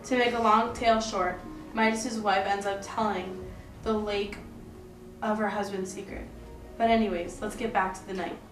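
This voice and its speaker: A woman's voice: mid-range voice, flat delivery, normal speed, over music. A young woman reading scripted storytelling narration for a puppet show. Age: young adult. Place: indoor room, puppet show recording. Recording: echoey room, distant mic, faint noise.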